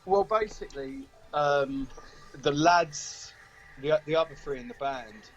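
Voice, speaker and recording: A man's voice: a little high, unhurried, dull audio, soft background music. A man chatting casually as an interviewed musician, hesitant and self-deprecating. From South of England. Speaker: band member and songwriter being interviewed. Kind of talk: casual chat.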